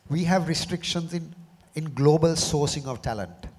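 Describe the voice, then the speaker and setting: Hoarse Sri panelist, middle-aged man, measured and halting, long hall reverb. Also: fairly high pitch. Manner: measured, often repeats words as he restarts. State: slightly hoarse from a cough. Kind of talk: panel discussion. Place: large conference hall.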